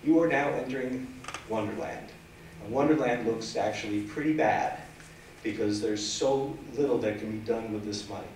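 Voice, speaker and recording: Middle-aged guy, low voice, emphatic public comment, standing away from mic in echoey room. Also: normal speed, slight background noise. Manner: forceful, emphatic, standing and gesturing. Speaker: legal aid director giving public comment. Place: council chamber. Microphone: standing away from desk mic. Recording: echoey chamber, far from mic.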